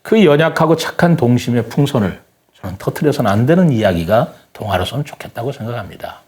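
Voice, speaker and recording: An older man speaking as an interview guest, giving his opinions earnestly in a deliberate, formal and polite way. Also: medium pitch, animated, a little mellow.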